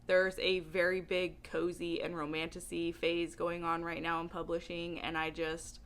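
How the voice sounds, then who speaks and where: Woman, book vlogger, bright voice, animated and emphatic, casual chatty delivery. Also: fairly low pitch, moderate pace. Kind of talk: casual book chat to camera.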